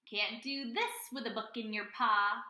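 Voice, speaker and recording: A woman's voice: a little high, steady tone, unhurried, pushed and bright, roomy sound. A woman voicing a taunting, brusque male pirate rabbit crewmate in a storybook.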